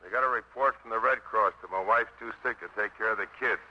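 A man acting an excitable, folksy older soldier who blusters and grumbles, in a 1950s radio drama. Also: lowish voice, quick pace, husky. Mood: bursting, wry. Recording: muffled old radio broadcast.

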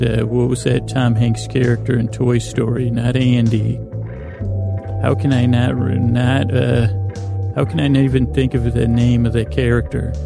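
A man with a creaky, gravelly voice, rambling gently and hesitantly as the friendly, goofy host of a bedtime podcast. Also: mid-range voice. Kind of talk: rambling, meandering bedtime monologue.

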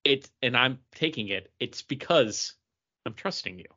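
A man's voice: medium pitch, lively intonation, a little slow, bright, forceful tone, lo-fi sound. A man chatting casually and emphatically as a podcast co-host, giving his opinions in a panel ranking.

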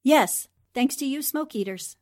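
An actress playing a resolute, quick-witted newspaperwoman in a 1940s-style radio drama. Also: sits high, lively intonation. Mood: relieved. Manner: decisive, urgent instructions. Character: Anne Rogers, quick-thinking, resolute newspaperwoman heroine.

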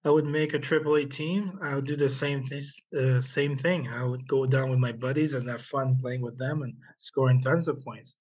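A middle-aged former hockey player from Haiti, raised in Quebec, telling stories in an interview with many pauses and restarts. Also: male voice, medium pitch, steady tone, a little mellow, slightly rough, low-quality recording. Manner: halting, many fillers and restarts. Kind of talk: interview storytelling.

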